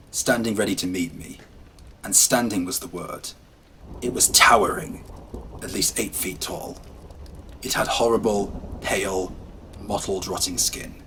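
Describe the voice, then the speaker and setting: A low-pitched man reads first-person horror tales aloud. His telling swings from hushed and ominous to brisk and lively. A faint patter of rain sits behind him. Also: a little hiss or hum, low-quality recording. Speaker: storyteller. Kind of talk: scripted horror narration.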